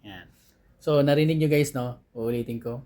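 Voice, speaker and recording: A man with a Filipino accent talks through a product like a vlogger doing an unboxing. His voice sits at a middle pitch, and his delivery is casual and conversational, halting now and then as he searches for the next word. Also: mellow, a little hiss or hum. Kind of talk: casual unboxing and review talk.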